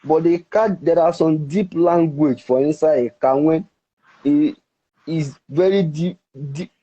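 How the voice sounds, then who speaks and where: A Nigerian man whose first language is Ika, explaining at length with halting restarts in an interview. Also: a little high, mellow, husky. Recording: dull, muffled recording.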